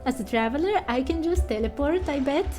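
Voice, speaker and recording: A woman's voice: sits high, animated, fairly quick, warm tone, faint music behind. A woman giving casual let's play commentary, thinking aloud in a hesitant way. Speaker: gamer and fan voice actor.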